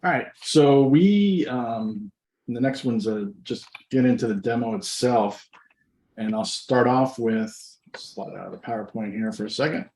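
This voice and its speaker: A man's voice: medium pitch, a little slow, a little mellow. A man hosting an informal webinar demonstration, explaining things step by step in a conversational way. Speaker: webinar host and software demonstrator. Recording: roomy, echoing microphone sound.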